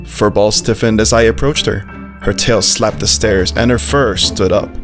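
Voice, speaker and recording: An actor voicing a sweet, naive, excitable male pit bull who talks and narrates in a visual novel. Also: mid-range voice, moderate pace, over music, close to an everyday voice. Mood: gentle narrating. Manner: eager, chirpy, childlike wonder. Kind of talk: character dialogue and first-person narration in a visual novel.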